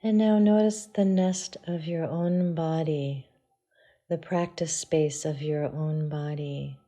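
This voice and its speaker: A woman teaching yoga meditation, guiding listeners in a soft, hushed delivery with pauses. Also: low-pitched, steady tone. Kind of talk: guided meditation.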